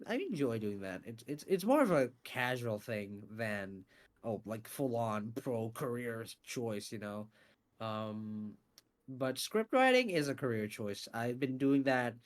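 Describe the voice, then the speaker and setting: A man being interviewed about his voice acting and scriptwriting, talking in a casual, animated way with lots of fillers and some swearing. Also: fairly low pitch. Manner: animated, swears freely, many fillers and restarts. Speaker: interview guest, voice actor and scriptwriter.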